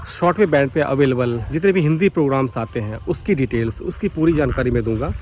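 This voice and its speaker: A man's voice: mid-range voice, a little mellow, slight background noise, low-quality recording. A man giving a casual, explanatory vlog, speaking directly to viewers outdoors in a village. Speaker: vlogger. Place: outdoors in a village by paddy fields.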